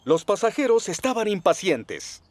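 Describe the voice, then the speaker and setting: A man's voice: high-pitched, sing-song, normal speed, a little mellow. A man with a Latin American Spanish accent narrating a children's cartoon story. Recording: music behind the voice.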